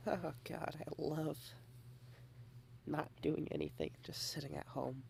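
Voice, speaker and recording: A young adult woman in a girlfriend ASMR roleplay, rambling shyly and softly, dropping into whispers at times. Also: deep voice, big pitch swings, measured pace, creaky, slight background noise. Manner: shy, rambling, soft-spoken with whispered asides.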